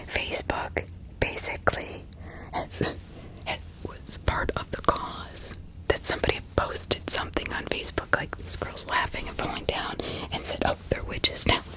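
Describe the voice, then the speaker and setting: A woman whispering ASMR true-crime commentary in a breathy, unvoiced, conversational way with emphatic repetition. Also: rough recording. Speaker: ASMR host.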